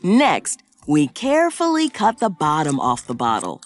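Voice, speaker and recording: A woman playing a cheerful, excitable science professor who explains things in an exaggerated, sing-song way for young children in an educational cartoon. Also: low voice, unhurried, heavily acted. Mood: upbeat, explaining. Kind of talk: scripted educational cartoon narration.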